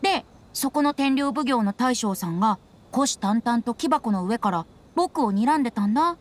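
A woman's voice: fairly high pitch, animated, moderate pace. A woman voicing a playful, carefree young male bard in an anime game, with breezy, teasing, theatrical delivery. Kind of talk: Japanese anime game voice acting. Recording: background music behind voice.

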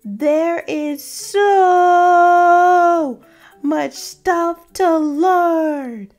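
A woman's voice: very high voice, very slow, a little mellow, soft background music, exaggerated voice. A woman voicing an anxious, dramatic, childish cartoon pigeon in a whiny, exclamatory way for a storybook read-aloud. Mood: panicked, fretful. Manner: exclamatory, fretful, theatrical.

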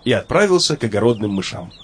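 A man's voice: on the low side, big pitch swings, quick pace, noisy background. A man narrating a children's cartoon fairy tale in a scripted storytelling style.

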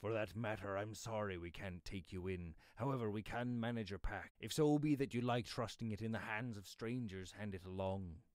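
A man voicing a gruff but kindly yeoman father in a storybook reading, with blunt, brisk, rustic speech. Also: on the low side, no echo, studio quality, lightly acted. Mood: gruffly obliging. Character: gruff, kindly, quick-speaking yeoman father, brusque and dismissive. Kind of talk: audiobook character voice.

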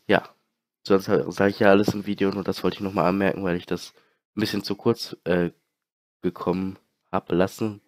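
A man's voice: low voice, little pitch movement, moderate pace, no room sound. A young man with a heavy cold, hosting a casual let's play and rambling with many fillers. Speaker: channel host, let's player. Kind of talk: casual gaming chat.

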